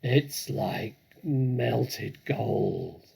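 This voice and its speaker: A man's voice: fairly low pitch, expressive, extremely slow, vocal fry, dark tone, breathy edge, full character voice. A man voicing a blustery, hearty grown badger from a storybook, with an emphatic, pompous delivery. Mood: blissful.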